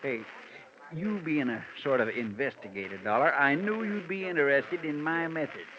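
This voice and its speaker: An actor playing an eager, self-important small-town sheriff in a 1940s radio drama. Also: male voice, on the high side, normal speed, close to an everyday voice. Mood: eager, excited. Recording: noisy, muffled 1940s radio recording.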